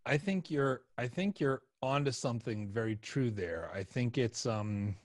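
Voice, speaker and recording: A middle-aged male podcast host in casual conversation, halting as he searches for words. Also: mid-range voice, lively intonation, no echo, clean studio sound. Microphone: podcast microphone and headset.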